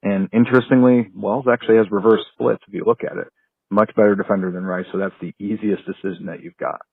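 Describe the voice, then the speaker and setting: American guy caller, kinda raspy, breaking down strategy on a dry phone message. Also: lowish voice, little pitch movement, fast, no room sound. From North Carolina. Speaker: caller leaving a voicemail. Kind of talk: casual tactical fan analysis. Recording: dry, tinny phone voicemail.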